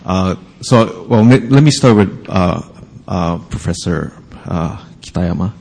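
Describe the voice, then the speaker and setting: A man from Hawaii with a Hawaiian English accent, introducing panelists at an event in a halting way full of fillers. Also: deep voice, animated, vocal fry, a little mellow, in a large hall. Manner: halting, many fillers and pauses. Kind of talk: hesitant formal introduction.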